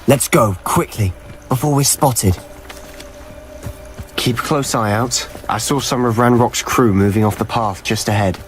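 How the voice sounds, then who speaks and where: A man's voice: medium pitch, a little slow, lightly acted. Man voicing impatient schoolboy wizard, intense, clipped, brooding, video game dialogue, music behind. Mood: urgent, wary. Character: impatient, hot-headed teenage schoolboy wizard, secretive and brooding.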